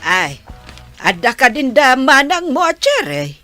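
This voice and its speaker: An actress playing a pushy, pleading village mother with an Ilocano accent in a radio comedy-drama, full of drawn-out exclamations. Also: high voice, big pitch swings, pushed and bright, soft background music, full character voice. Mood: nosy, surprised.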